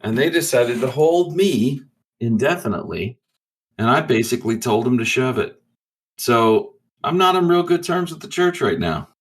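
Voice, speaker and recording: A man roleplaying in a tabletop game, telling his story in a halting, laid-back, profane way. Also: medium pitch, very animated. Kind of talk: casual in-character storytelling.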